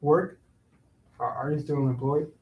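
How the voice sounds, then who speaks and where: Casting: male actor as teasing neighborhood pal for a stage play reading, high-pitched voice, heard from afar. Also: steady tone, moderate pace, darkish tone, close to an everyday voice. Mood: teasing, curious. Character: Tiago, teasing neighborhood buddy, building worker. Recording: echoey room, far from mic.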